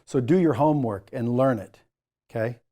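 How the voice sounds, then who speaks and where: A man with a mellow, darker-toned voice at a medium pitch teaches as a course instructor. He addresses the learner directly in a coaching style, stressing his points while keeping the wording informal. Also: moderate pace. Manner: emphatic, jokey asides, coaching tone. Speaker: sales trainer, course instructor.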